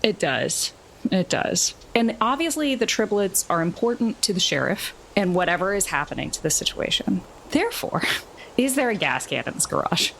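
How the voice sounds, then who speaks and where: A woman's voice: mid-range voice, big pitch swings, normal speed, creaky, a bit bright, slight background noise, low-quality recording. A woman playing a tabletop role-playing game, chatting casually as she describes her character's moves.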